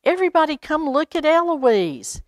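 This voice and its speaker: A woman voicing an anxious, excitable young boy in a storybook, calling out and giving urgent instructions. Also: high voice, moderate pace, no echo, studio quality, pitched above the performer's own voice. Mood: excited. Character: excited, anxious young boy looking after his cat.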